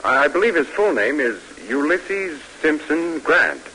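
An actor playing a courteous, deferential army captain speaking politely and formally in an old radio drama. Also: male voice, fairly high pitch, very animated, unhurried. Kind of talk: 1940s-50s radio drama acting. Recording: hissy vintage radio recording.

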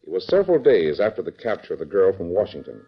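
Animated low-voiced old radio announcer man. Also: fast, breathy edge. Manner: dramatic. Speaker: announcer and narrator. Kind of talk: 1940s radio scripted narration. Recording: muffled vintage radio recording.

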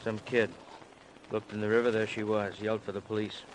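A man's voice: on the low side, flat delivery, a little fast, close to an everyday voice. An actor playing a somber, matter-of-fact policeman in a 1950s radio crime drama, speaking in short blunt fragments. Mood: grim, subdued. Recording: faintly noisy old radio recording.

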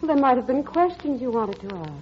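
An actress playing a wistful, gentle stepmother with a secret, in a halting, earnest old-time radio drama style. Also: high voice, animated. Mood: guarded, wistful. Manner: halting, hesitant, softly earnest. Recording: muffled old radio recording, music behind.

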